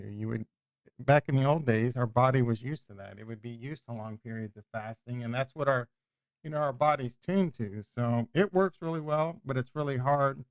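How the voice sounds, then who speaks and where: Casual male streamer, smooth clear voice, dry close mic, rambling chat. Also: medium pitch, no room sound. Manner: rambling, self-correcting, chatty. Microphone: new desk microphone.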